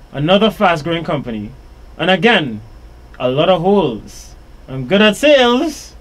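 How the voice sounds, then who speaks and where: A Trinidadian man hosting a live radio interview from a small studio, talking upbeat in quick bursts into a boom mic. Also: poor audio. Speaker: radio show host. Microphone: studio boom mic with headphones.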